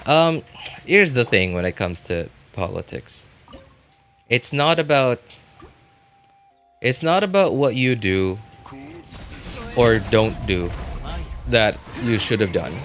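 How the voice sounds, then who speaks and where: A man giving casual live game commentary as a streamer, rambling and halting, often breaking off mid-thought to react. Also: mid-range voice, expressive, a bit bright, faint music behind.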